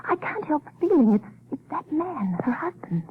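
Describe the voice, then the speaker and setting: An actress playing a sleuth's anxious, earnest companion in an old radio mystery, with a refined, hushed manner. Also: female voice, high-pitched, big pitch swings, moderate pace, phone-quality sound. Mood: fearful. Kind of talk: 1940s radio mystery acting. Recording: thin, faintly noisy old radio recording.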